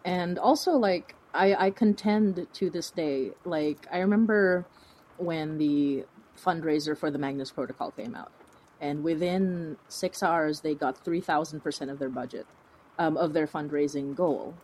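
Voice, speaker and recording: A woman's voice: deep voice, unhurried, darkish tone, slight background noise, rough recording. A woman chatting casually as an interview guest, talking in an effusive, emphatic and gushing way. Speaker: podcast creator, interview guest. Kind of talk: casual conversational interview chat.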